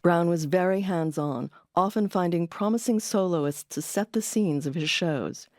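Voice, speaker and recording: A woman narrates a documentary in a low-pitched voice, reading from a script as its host. Music plays clearly behind her. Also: normal speed, a little mellow.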